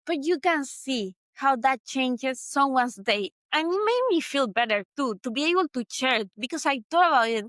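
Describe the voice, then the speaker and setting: A woman's voice: high voice, lively intonation, normal speed, hardly any pauses, a bit bright, no echo. A woman from Venezuela with a Venezuelan Spanish accent, hosting a solo motivational talk in an earnest, reflective way that repeats points for emphasis. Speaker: podcast host.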